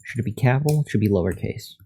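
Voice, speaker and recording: A man chatting casually on a live coding stream, thinking aloud in a monotone voice into a USB condenser microphone. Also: on the low side, rapid-fire, husky. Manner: thinking aloud, reading out and answering chat. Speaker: live streamer learning to code. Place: untreated room at a computer. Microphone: USB condenser microphone at a desk.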